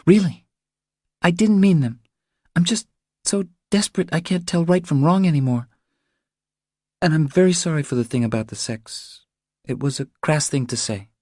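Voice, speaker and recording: A man voicing a small, cowed, desperate woman in an audiobook, pleading in an urgent, breathy way. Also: fairly high pitch, moderate pace, pauses often, a little mellow, no echo, studio quality, pitched above the performer's own voice, lightly acted. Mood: apologetic, anxious.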